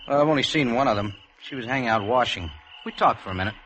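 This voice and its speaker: A man's voice: mid-range voice, expressive, quick pace, a bit bright, lightly acted. An actor playing a mild, reticent young man on holiday, with a North American accent, in a 1950s radio drama. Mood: easygoing, reluctant. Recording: noisy 1950s radio drama, outdoor night ambience.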